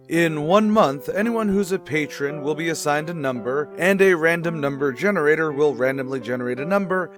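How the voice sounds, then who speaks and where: A man's voice: fairly high pitch, voice pushed down, lightly acted. A man playing a wry, put-upon waiter who reads an announcement in a dry, announcer-like way. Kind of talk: in-character promo announcement.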